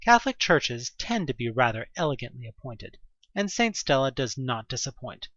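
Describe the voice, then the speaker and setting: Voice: medium pitch, very animated, moderate pace, fairly bright tone, no echo, dull audio. A narrator reading a scripted second-person story for an audio drama.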